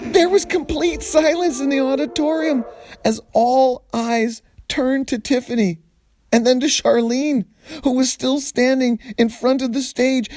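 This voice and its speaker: A man reading a humorous story aloud in an expressive, theatrical way with deliberate phrasing. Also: very high-pitched.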